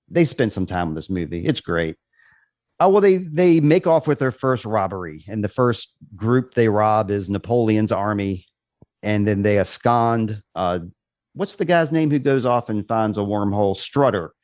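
A man's voice: lowish voice, lively intonation, normal speed, a little mellow, no room sound, studio quality. A man hosting a podcast, recapping a film in casual chat and laughing often. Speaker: podcast host.

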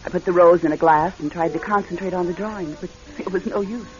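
A woman's voice: fairly low pitch, fast, darkish tone, lightly acted. An actress playing a reserved, polite divorced career woman who also narrates her own story in a classic radio drama style. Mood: reflective narration. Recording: dull, lo-fi old radio recording.

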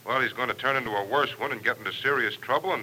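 An actor playing a brisk, blustery city police sergeant taking a phone call in a 1940s radio drama. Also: male voice, mid-range voice, pushed and bright, a little hiss or hum.

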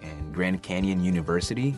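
An earnest grown grandson, played by a man, speaks to his family in a radio commercial. His voice is on the low side, with music clearly playing behind him. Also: moderate pace, lightly acted.

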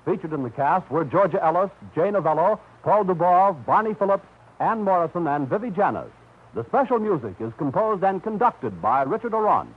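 A male announcer reading the show's credits in a formal, crisp radio style. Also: high voice, big pitch swings, brisk. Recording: tinny, hissy old radio broadcast.

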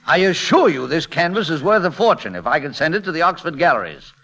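A proud, indignant painter husband in an old radio comedy. The voice is sharp and bright, swooping with theatrical bluster, on a muffled vintage recording. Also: male voice, fairly high pitch, very animated, fast, heavily acted. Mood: flustered, indignant. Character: proud, flustered, indignant painter husband claiming secret fame. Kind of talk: 1940s radio comedy acting.

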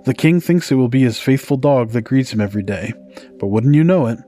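A man's voice: medium pitch, lively intonation, a little fast, warm tone. A man hosting a podcast, narrating folktales from a script in a storytelling style. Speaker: podcast host. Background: music.

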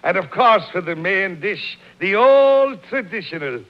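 A thirsty, henpecked Irish policeman in a radio comedy. He has a high-set male voice with a wry, plaintive manner, heard on a muffled old broadcast. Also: big pitch swings, unhurried, heavy background noise.

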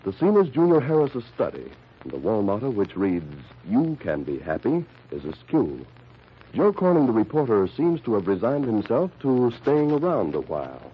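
A man's voice: lowish voice, huge pitch swings, normal speed, slight background noise. A man narrating as the announcer of an old-time radio drama. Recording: dull lo-fi old radio recording.

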